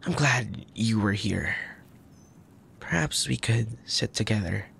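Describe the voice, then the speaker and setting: Man acting a low, creaky, gentle young prince. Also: animated, slow, a little hiss or hum, full character voice. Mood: apologetic, troubled. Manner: formal old-fashioned wording, gentle, hesitant. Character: soft, tender young crown prince, troubled and tipsy. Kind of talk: intimate ASMR audio roleplay.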